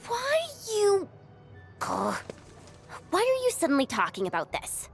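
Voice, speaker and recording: Animated, high-voiced young woman warrior. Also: unhurried, over music, full character voice. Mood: exasperated. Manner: expressive, exclaiming, informal. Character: hot-headed, teasing young woman warrior, impulsive and good-hearted. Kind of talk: video game voice acting.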